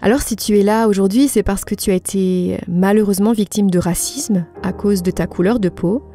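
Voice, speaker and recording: A woman hosting a podcast, putting prepared interview questions in a polite, measured, formal way. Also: medium pitch, fairly even intonation, warm tone, faint music behind.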